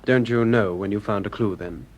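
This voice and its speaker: An actor as a brisk, impatient male boss. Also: fairly low pitch, natural voice. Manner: businesslike, impatient. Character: brisk, impatient male laboratory chief giving orders. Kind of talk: radio drama acting.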